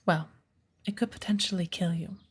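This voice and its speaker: A woman playing a patient, playful witch of the woods who gently teaches an apprentice in a soft ASMR roleplay, with a breathy voice. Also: fairly low pitch, fairly even intonation. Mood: earnest, instructive. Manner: slow, measured, gently instructive.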